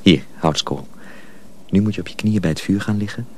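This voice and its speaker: An actor playing a grave, protective older journeyman in a Dutch radio drama, speaking in solemn, hushed tones. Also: sits low, moderate pace, slight background noise, natural voice. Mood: instructing, secretive. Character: grave older journeyman mill hand, protective, sorrowful, secretive.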